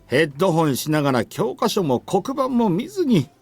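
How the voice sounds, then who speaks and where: A stern male teacher from a visual novel, scolding and easily exasperated. His voice runs a little high and swings widely as he rattles through his words with authority. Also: very animated, fast, darkish tone, husky, soft background music, exaggerated voice. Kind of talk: Japanese visual novel voice acting.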